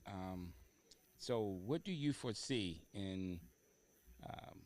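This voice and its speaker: A man hosting a talk show in a conversational interviewing style, often halting as he searches for words. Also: lowish voice, animated, darkish tone. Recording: noticeable background room noise.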